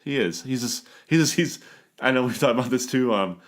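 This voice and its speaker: Co-host, male, congested with a cold, quick rambling delivery, casual sports banter. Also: mid-range voice, animated. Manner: rambling, repeats words, laughs often. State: stuffy nose from a cold. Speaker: podcast co-host.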